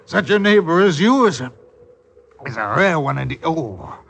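High-voiced scheming rustic husband. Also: male voice, big pitch swings, moderate pace, vocal fry, fairly bright tone, husky, full character voice. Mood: falsely jovial. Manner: theatrical archaic rustic speech. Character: scheming, henpecked country husband, sly and wheedling. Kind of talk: classic radio drama acting. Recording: old radio recording, background hiss.

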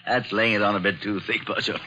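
An actor playing a detective's genial, proper gentleman friend, affable and a little blustering, in 1940s radio drama. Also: on the low side, fairly even intonation, a little fast, soft background music. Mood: doubtful, affable. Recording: muffled old 1940s radio recording.

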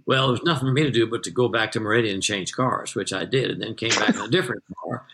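An older American man from Texas telling detailed anecdotes as an interview guest, in a measured raconteur's manner. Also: medium pitch, sharp tone. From Texas, United States. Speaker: interview guest, retired magazine journalist.

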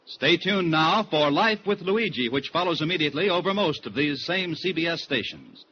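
Bright, forceful American radio announcer man. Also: a little high, expressive, moderate pace. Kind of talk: 1940s scripted network radio announcing. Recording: lo-fi 1940s radio, faint noise.

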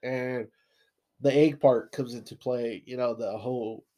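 A man with a stuffy nose co-hosting a podcast, recapping plot in a relaxed way, full of "kinda" and "you know". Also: mid-range voice, a little slow. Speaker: podcast co-host. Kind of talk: casual chat.

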